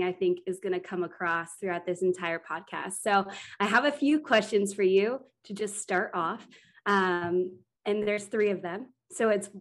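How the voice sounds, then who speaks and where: A woman hosts the podcast and asks her guest loose, friendly questions. Her voice has a middling pitch, and she talks casually with plenty of fillers. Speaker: podcast host. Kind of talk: casual interview.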